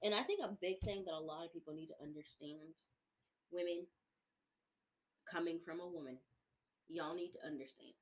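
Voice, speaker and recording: A woman's voice: fairly low pitch, normal speed, long pauses, low-quality recording. A woman co-hosting a casual podcast about relationships, speaking in a sarcastic, emphatic way. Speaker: podcast co-host.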